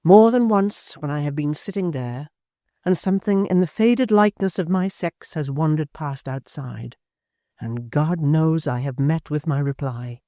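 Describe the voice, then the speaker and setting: A man voicing a proud, bitter young woman in a storybook reading, speaking low and steady. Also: a little slow, no echo, clean studio sound. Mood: cold. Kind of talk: audiobook character voice.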